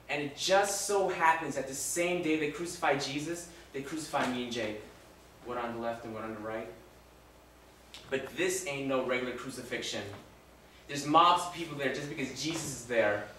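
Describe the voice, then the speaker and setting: A man's voice: mid-range voice, normal speed, natural voice. Man acting a remorseful condemned robber, echoing and distant. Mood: regretful, agitated. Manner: impassioned, swinging from hushed confession to shouting. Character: repentant condemned robber crucified beside Jesus, rough-living, remorseful. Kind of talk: live dramatic monologue, first-person storytelling. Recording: very echoey room, distant mic, faint noise.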